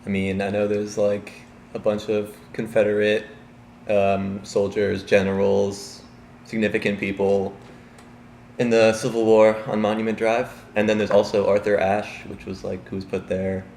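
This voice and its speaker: Deep-voiced young man, hesitant. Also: flat delivery, a little slow, darkish tone, reverberant, a little hiss or hum. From Chicago, Illinois. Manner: hesitant, many fillers. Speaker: interviewee. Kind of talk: casual street interview.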